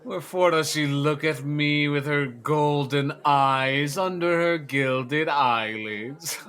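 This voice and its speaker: An actor playing a fanatical prophet raging against sin, declaiming in an oratorical classical audio drama style. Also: male voice, a little high, slow pace, a bit bright. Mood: scornful. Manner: ranting, oratorical, archaic solemn delivery.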